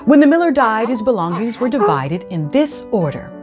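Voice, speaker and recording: A woman hosting a children's read-aloud, speaking in a deliberate, clearly phrased storytelling style. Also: on the high side, sing-song, music in the background.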